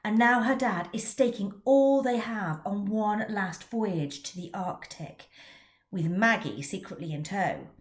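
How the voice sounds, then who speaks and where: A middle-aged woman, an author and teacher from England, giving a prepared talk in an expressive storytelling manner. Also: mid-range voice, unhurried, roomy sound. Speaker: children's author. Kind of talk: scripted talk about her book.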